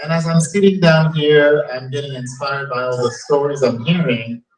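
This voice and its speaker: A man's voice: on the high side, fairly even intonation, moderate pace. Man telling a story from afar. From Haiti. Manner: conversational, self-mocking, builds to punchlines. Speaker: timekeeper and storyteller. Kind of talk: live personal storytelling. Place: restaurant event room. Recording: distant mic in a live venue.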